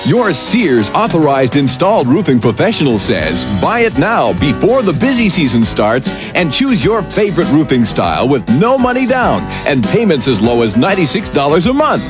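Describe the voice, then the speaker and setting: A man's voice: fairly high pitch, very animated, moderate pace, hardly any pauses, over music. A man announcing a scripted commercial in a salesy style.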